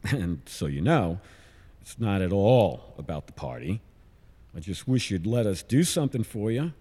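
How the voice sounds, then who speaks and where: An actor playing a middle-aged scientist father in an audio drama, casual, wry and gently persistent. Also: on the low side, sing-song, moderate pace, breathy edge, big-room sound. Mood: concerned. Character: warm, eager father and scientist, a concerned friend. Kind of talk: naturalistic audio drama acting.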